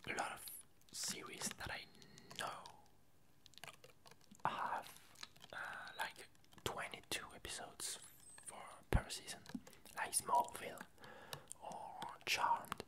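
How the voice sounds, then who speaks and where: Slow, whispered ASMR rambling. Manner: hesitant, unhurried, meandering. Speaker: ASMR channel host.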